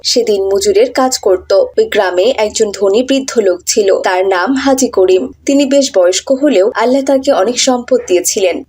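High-voiced woman narrating children's stories. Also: darkish tone, breathy edge. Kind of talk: scripted children's story narration.

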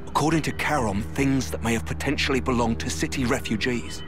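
A man plays a matter-of-fact video game scout reporting to his superiors. His voice is medium-pitched and businesslike, with music clearly behind it. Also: a little fast, close to an everyday voice. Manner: factual, businesslike. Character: matter-of-fact male search party scout reporting back. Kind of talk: video game cutscene acting.

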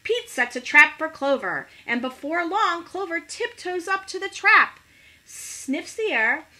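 Animated, bright-voiced middle-aged woman reading aloud. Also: very high voice. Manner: expressive, pausing to ask listeners questions. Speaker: teacher reading to children. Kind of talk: animated picture-book read-aloud for young children. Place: small living room at home, indoors.

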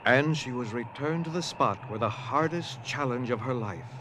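A man's voice: medium pitch, moderate pace. A man narrating an audio drama from a script in a dramatic storytelling style. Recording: noisy ambient sound behind.

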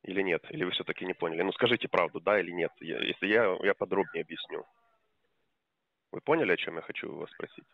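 A man's voice: low voice, quick pace, creaky, no echo. A man on a prank phone call, probing and pressing with question after question. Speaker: caller. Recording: tinny phone line.

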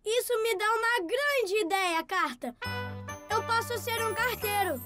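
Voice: very high voice, expressive, unhurried, over music. A performer with a Brazilian accent voicing a cheerful, polite little boy in a children's cartoon dub. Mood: eager. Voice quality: bright, childlike. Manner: eager, courteous.

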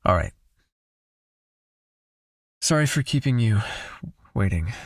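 Man acting as male vampire captor, deep gravelly voice, slow hypnotic ASMR roleplay, breathy, possessive, soothing, gently commanding. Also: expressive, no room sound, clean studio sound, heavily acted. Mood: soothing, guiding. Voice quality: husky, breathy, low, often near a whisper.

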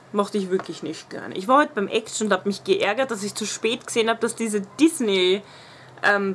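A woman's voice: mid-range voice, fairly bright tone, slight background noise. A woman in her twenties with a Viennese accent, chatting casually and gushing about used-up beauty products, laughing often, in a small room at home. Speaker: beauty vlogger. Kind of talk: casual empties review.

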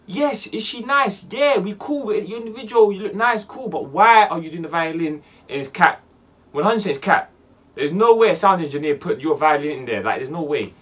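A young man in his twenties with a London accent, hosting a music reaction video in casual slang-heavy banter, swinging from loud bursts to low mutters. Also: animated, brisk. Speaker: music reaction channel host. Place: small dark room indoors.

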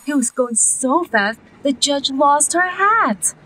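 Animated high-voiced woman reading a storybook. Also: slow, poor audio. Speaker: storyteller reading a children's book aloud. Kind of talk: expressive read-aloud storytelling.

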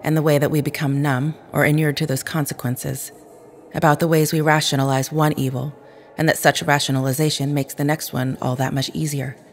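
A woman playing a cold, unsparing otherworldly woman in an audio drama, with measured, formal, precise diction. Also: low voice, flat delivery, a little hiss or hum. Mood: solemn, resolute.

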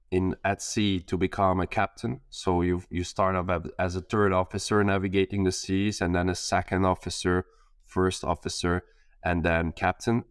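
A man's voice: deep voice, clean tone, no echo, studio quality. A man in his thirties from Belgium, a startup founder speaking as a guest on a video call, hesitant with many fillers and restarts. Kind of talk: casual interview on a video call. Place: bright attic room with skylight.

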